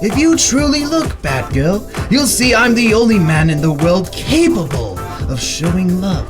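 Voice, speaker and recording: Psychopathic clown villain, gleeful, mock-affectionate, wildly animated pitch, radio drama, music behind. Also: male voice, measured pace. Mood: mock-sweet.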